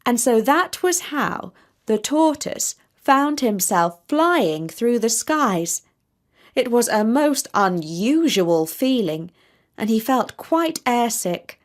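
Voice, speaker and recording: A woman storyteller narrating a scripted children's tale in measured, deliberate phrases. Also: on the high side, big pitch swings.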